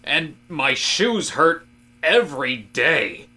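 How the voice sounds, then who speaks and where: Guy playing office worker who gets all deep and dramatic, bright punchy voice, ranty, audio drama comedy. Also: big pitch swings, slow, heavily acted. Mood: exasperated. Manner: dramatic musing turning to exasperated ranting. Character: earnest male office worker philosophizing grandly about fire.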